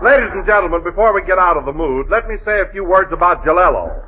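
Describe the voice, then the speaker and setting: An American man announcing in 1930s radio style, delivering a sponsor pitch on an old recording. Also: expressive, fast talker. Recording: dull, noisy vintage radio recording.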